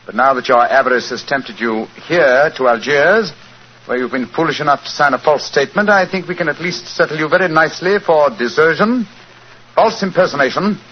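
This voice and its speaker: An actor playing a shrewd, composed English gentleman detective with a British accent, speaking formally and precisely in 1940s radio style. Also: on the high side, brisk, natural voice. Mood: accusing, assured. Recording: muffled, hissy 1940s radio recording.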